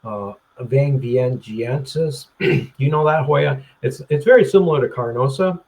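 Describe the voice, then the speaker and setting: A man with a mid-pitched voice talks casually as an interview guest over a webcam. He rambles and halts, clears his throat often, restarts his sentences and chuckles now and then. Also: a little slow, darkish tone, poor audio. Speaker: plant grower, interview guest. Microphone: webcam video call.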